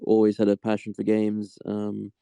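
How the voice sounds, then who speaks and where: A man, a guest in an interview, telling stories in a relaxed, thoughtful way with plenty of ums. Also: on the low side, normal speed, vocal fry, smooth, no echo. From Australia. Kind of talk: casual interview.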